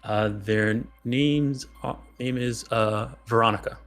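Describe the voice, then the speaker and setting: Man, medium-pitched voice, game master, halting improvised narration, pauses mid-sentence, faint music behind. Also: fairly even intonation, a bit bright.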